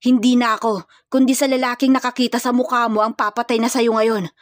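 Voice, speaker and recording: A woman's voice: a little high, flat delivery, brisk, no echo, studio quality, close to an everyday voice. A woman voicing a serious, protective young male hired killer in a dramatized romance story, firm and commanding. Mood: grave, explaining.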